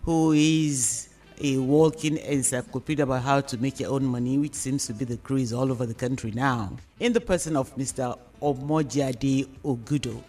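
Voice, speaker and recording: A woman with a Nigerian accent hosting a radio discussion programme, phrasing her questions and points deliberately and emphatically. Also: extremely low pitch, mellow, soft background music.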